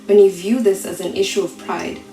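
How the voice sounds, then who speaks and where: A woman performing slam poetry in a deliberate, rhetorical way, with dramatic pauses. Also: mid-range voice, fairly even intonation, dark tone. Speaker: slam poet. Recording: roomy echo, faint music behind.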